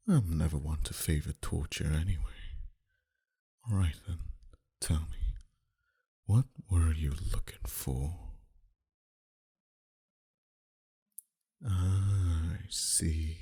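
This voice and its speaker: A man playing a smug, flirtatious and menacing mafia boss in an intimate ASMR roleplay, dominant and teasing, sometimes dropping to a breathy whisper. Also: full character voice. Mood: taunting. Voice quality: very deep, dark, husky.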